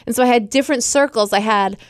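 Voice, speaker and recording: A woman co-hosting a casual chat podcast, chatty and quick to laugh. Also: fairly high pitch, no echo, studio quality. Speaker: podcast co-host.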